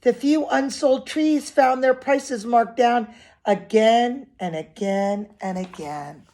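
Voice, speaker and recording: A woman's voice: on the high side, slow pace, dark tone. A woman reading a picture book aloud expressively to young children, with simple asides and questions to her listeners.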